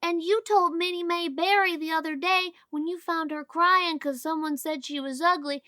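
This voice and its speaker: A woman's voice: very high-pitched, steady tone, normal speed, pushed and bright, no room sound, clean studio sound. A woman voicing an inquisitive, outspoken little farm boy in an audiobook, talking in an earnest, childlike, questioning way. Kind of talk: audiobook character acting.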